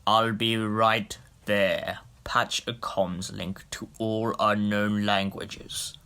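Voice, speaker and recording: An actor playing a stately, defiant Lord President in a sci-fi audio drama, speaking in a grave, formal, measured way. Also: male voice, on the low side, little pitch movement, bright, forceful tone, smooth, full character voice. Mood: commanding.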